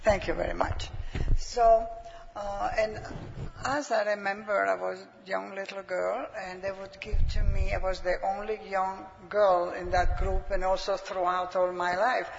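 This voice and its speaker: A woman from Italy with an Italian accent, giving her personal testimony to a congregation and pausing often to find words. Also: medium pitch, little pitch movement, creaky. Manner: hesitant, searching for words. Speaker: preacher's wife giving testimony. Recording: dull sound with long hall reverb.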